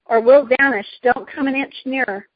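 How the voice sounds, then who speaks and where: A woman's voice: sits high, fairly even intonation, normal speed, husky, dry recording, dull audio. A woman voicing a stubborn, defiant young boy from a storybook, with a blunt manner. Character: frightened but defiant young boy, stubborn and plain-spoken.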